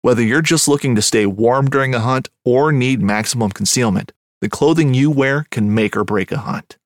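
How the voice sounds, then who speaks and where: A man's voice: medium pitch, normal speed, dry recording, studio quality. A man reading a scripted ad as an announcer, with a polished delivery. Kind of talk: scripted ad read.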